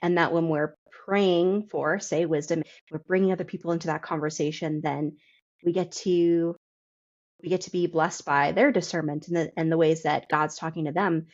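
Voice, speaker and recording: A woman's voice: low-pitched, steady tone, moderate pace, dull audio. A woman hosting a reflective interview, thinking aloud in a conversational way and repeating phrases as she forms her thought. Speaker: podcast host, interviewer.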